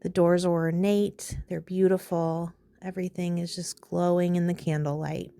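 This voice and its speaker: A woman leading a guided meditation in a calm, soothing, unhurried way, pausing between phrases. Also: lowish voice, gravelly, no room sound.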